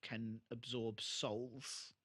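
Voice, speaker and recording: Podcast guy, normal pitch, casual recap chat, swears a bit. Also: little pitch movement, drawn-out words, fairly bright tone. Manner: sweary, conversational. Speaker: podcast co-host.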